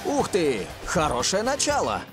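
A man's voice: high voice, huge pitch swings, unhurried, heavily acted. An actor playing a dramatic male football commentator in a cartoon, calling the game like an announcer. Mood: expectant. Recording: music under commentary.

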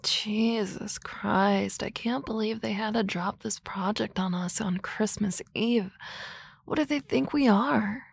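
A woman voicing a sardonic, teasing office-lady boss in an ASMR roleplay, with dry banter and soft whispery asides on a close mic. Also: mid-range voice, takes it slowly, gravelly, slightly rough, full character voice.

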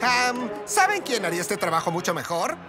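An actor voicing a small, soft-hearted cartoon skunk, eager and earnest. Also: mid-range voice, very animated, normal speed, natural voice. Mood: apologetic, pleading. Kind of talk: cartoon voice acting. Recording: loud music behind.

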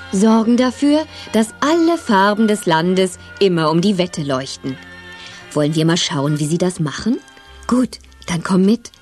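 A woman's voice: on the low side, darkish tone. A woman narrating a scripted children's story.

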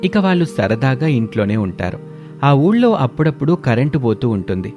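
A man narrates a family cartoon story with steady, plain pacing. His voice is mellow and dark, with faint music playing underneath. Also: mid-range voice, normal speed, highs cut off.

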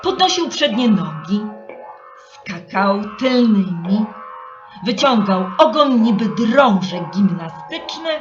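A woman narrates a children's tale in an expressive, theatrical style, leaning on words and pausing for drama. Music runs clearly with her, and the recording is a bit dull. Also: medium pitch. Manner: theatrical, emphatic, with dramatic pauses. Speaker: storyteller.

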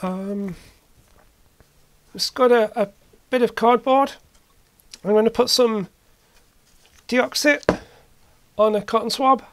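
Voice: medium pitch, takes it slowly, pauses often. A hobbyist presenter giving a casual hands-on repair commentary, thinking aloud while working. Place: indoor workbench.

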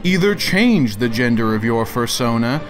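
A man's voice: medium pitch, sing-song, normal speed, faint music behind, lightly acted. A man doing a dramatic reading as a pompous, indignant internet crank with an overly formal, theatrical delivery. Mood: offended, indignant. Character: pompous, indignant male internet crank issuing grandiose demands. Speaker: narrator of read-out messages. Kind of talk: dramatic reading of written messages.

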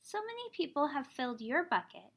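A woman in her thirties reading a children's picture book aloud like a teacher, expressively, pausing to explain things simply for young children. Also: sits high, very animated. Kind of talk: children's storybook read-aloud.

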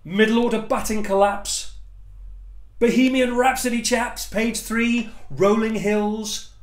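A man in his fifties with an English accent performing spoken-word poetry in a theatrical, emphatic way with deliberate pauses, recorded in a living room. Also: sits high, fairly even intonation. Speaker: performance poet. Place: small living room, indoors. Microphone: camera or phone a short distance away. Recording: echoey room sound.

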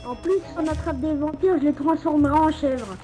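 A woman's voice: high-pitched, a little fast. A woman with a French accent chatting casually in character in an online roleplay game. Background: game music.

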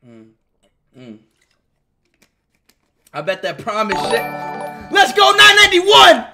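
Loud high-pitched young man streaming. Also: slow pace, music in the background. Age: young adult. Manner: loud, excitable, yells often. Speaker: livestreamer. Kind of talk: hyper livestream chat. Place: bedroom. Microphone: headset.

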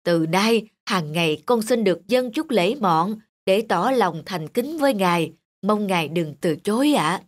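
A woman's voice: medium pitch, lively intonation, a little slow, few pauses. A woman voicing a poor, pious, superstitious male farmer in a children's cartoon, with a fretful, reverent manner. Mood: reverent, pleading.

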